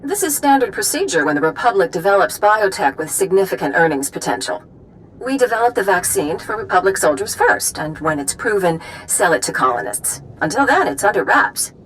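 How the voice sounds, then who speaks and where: An actress playing a driven doctor who speaks in a brisk, blunt, businesslike way. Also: female voice, medium pitch, very animated, a little hiss or hum, close to an everyday voice, rough recording.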